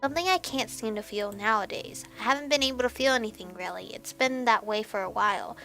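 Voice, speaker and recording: A woman reading a visual novel aloud as expressive narration, with animated reactions and some stumbling over words. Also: on the high side, fast talker, gravelly. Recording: background music behind voice. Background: game music.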